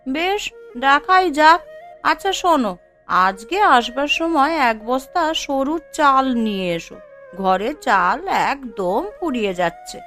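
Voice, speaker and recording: A woman's voice: high voice, lively intonation, measured pace, bright, forceful tone, soft background music, exaggerated voice. A woman voicing a well-off, demanding, haughty wife in a cartoon, with a scolding, boastful way of talking. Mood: nagging. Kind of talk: Bengali cartoon dialogue.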